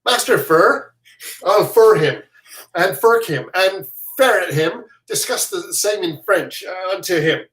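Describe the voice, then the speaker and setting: A reader doing a loud swaggering braggart soldier. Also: medium pitch, expressive, measured pace. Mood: blustering. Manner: loud, bullying threats. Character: blustering swaggering soldier, boastful. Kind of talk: reading a play aloud. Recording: roomy echo.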